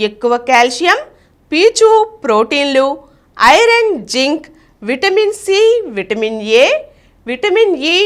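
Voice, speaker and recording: A woman's voice: high voice, a bit bright. A woman reading a scripted, informational health narration.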